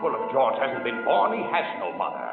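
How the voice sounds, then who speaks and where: An echoing, stern senior angel. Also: male voice, mid-range voice, sing-song, gravelly. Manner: measured, commanding. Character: stern heavenly male senior angel, calm and authoritative. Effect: heavy echo for a heavenly voice. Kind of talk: 1940s radio acting. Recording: echoey, tinny 1940s radio broadcast with music.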